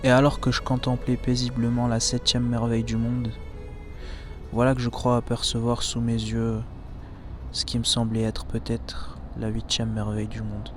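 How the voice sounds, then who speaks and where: A man's voice: medium pitch, monotone, normal speed, over music. A young man narrating his own footage in comic voice-over, mock-dramatic and self-mocking.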